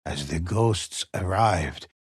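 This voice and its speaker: A grave old man narrates ghost legends for a video game. His voice is deep and husky, and he takes his time over every phrase with slow, ominous solemnity. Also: no room sound, clean studio sound, heavily acted. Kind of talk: dramatic scripted storytelling for a video game.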